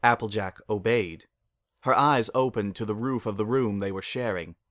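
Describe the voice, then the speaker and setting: A man's voice: lowish voice, normal speed, fairly bright tone, no echo, studio quality. A man narrating a story as a dramatic reading.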